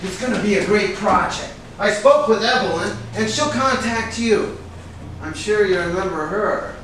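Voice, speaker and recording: In a very echoey room, far from the mic, an actor plays a brash male film agent with a high-pitched voice. He is pushy and emphatic, hectoring and excitable in a theatrical stage-reading style. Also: moderate pace. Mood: scolding, pushy. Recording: echoey room, distant mic, background hiss.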